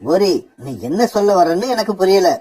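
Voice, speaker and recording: An actor voicing a gentle, mild-mannered father bird in a cartoon, pleading with his family. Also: mid-range voice, lively intonation, a little fast, slightly rough, low-quality recording. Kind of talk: cartoon dub acting.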